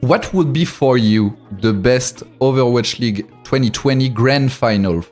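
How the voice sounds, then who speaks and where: A man's voice: medium pitch, unhurried, a little mellow. A man from France with a French accent, hosting a casual interview in hesitant second-language phrasing, sounding amused. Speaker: interviewer and show host. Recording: music behind the voice.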